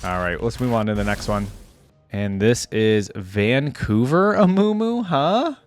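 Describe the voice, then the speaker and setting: A man's voice: mid-range voice, animated, measured pace, faint music behind. A man giving casual, off-the-cuff reaction commentary, like a video creator talking through what he sees.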